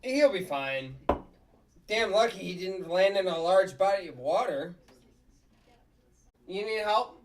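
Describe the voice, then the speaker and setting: A woman voicing a gruff, excitable, boastful male puppet in a sitcom table read, with blustery exclamations. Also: mid-range voice, slow pace, long pauses, distant mic. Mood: gruff, wry.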